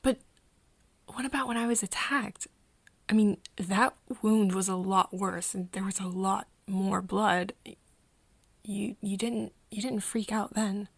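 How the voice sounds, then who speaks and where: A woman playing a hesitant, caring young human friend in a soft ASMR roleplay, breathy and often dropping into a whisper, speaking haltingly. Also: medium pitch, steady tone, no room sound. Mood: tentative, curious. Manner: halting, hesitant, with nervous giggles. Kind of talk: soft ASMR roleplay acting addressed to the listener.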